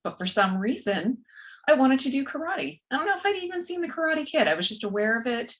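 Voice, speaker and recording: A woman's voice: sits high, big pitch swings, fairly quick. A woman in her forties with a Texan accent, a guest author chatting in a friendly, matter-of-fact way. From West Texas, USA. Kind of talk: casual interview chat.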